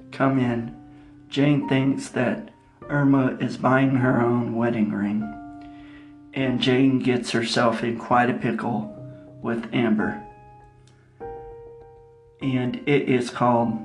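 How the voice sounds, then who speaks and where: A man hosting a podcast, giving a casual introduction to an episode. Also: mid-range voice. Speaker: podcast host. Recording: echoey room, music behind. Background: plucked guitar music.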